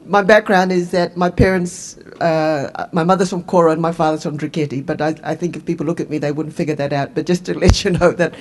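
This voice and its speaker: A middle-aged woman from Fiji with a New Zealand accent, speaking as a panelist in a relaxed, self-deprecating way into a handheld microphone in a conference room. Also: deep voice, fairly quick, few pauses, slight background noise. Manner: self-deprecating, laughs easily. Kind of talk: conversational panel talk.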